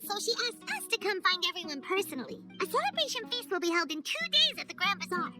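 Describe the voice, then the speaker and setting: A woman voicing a small, childlike, chirpy fairy companion in a video game cutscene. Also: very high-pitched. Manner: chirpy, exclamatory. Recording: loud background music.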